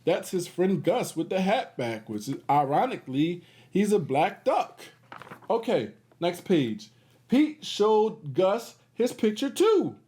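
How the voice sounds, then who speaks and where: A man reads a children's picture book aloud in a high, very lively voice. He takes his time with the story and drops in casual, encouraging comments as he goes. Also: takes it slowly. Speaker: host of a children's read-aloud series.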